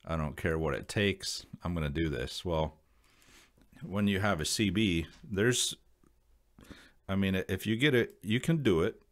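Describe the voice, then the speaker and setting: A man's voice: low-pitched, animated, a little slow. A man with an American accent giving a casual, unscripted explanation to viewers in a rambling, folksy, plain-spoken way. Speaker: video creator sharing radio hobby advice.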